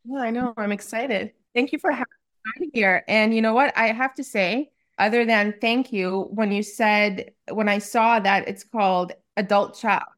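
A woman's voice: a little high, normal speed, fairly bright tone. A woman in her forties, originally from Romania, speaking as a life coach guest in an open, chatty way, with lots of "like"s. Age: 40s. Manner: open, chatty, frequent likes and laughs. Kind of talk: casual interview chat.

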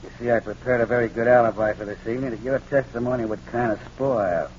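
An actor playing a menacing, gloating gangster with a mock-polite, leisurely manner in a 1940s radio crime drama. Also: male voice, mid-range voice, fast talker, a little mellow, lightly acted. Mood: smug menace. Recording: dull, noisy old radio recording.

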